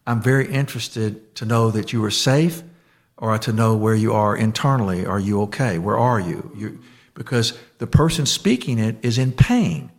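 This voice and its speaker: A man chatting on a podcast, rambling with many restarts and trailing off softly at the ends of thoughts. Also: fairly low pitch, lively intonation, moderate pace. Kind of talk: casual conversational chat.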